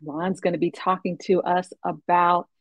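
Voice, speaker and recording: A woman in her fifties hosting an online interview show, upbeat and expressive, with easy laughter. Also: fairly low pitch, normal speed, dry recording, clean studio sound. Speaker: talk show host. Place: home room.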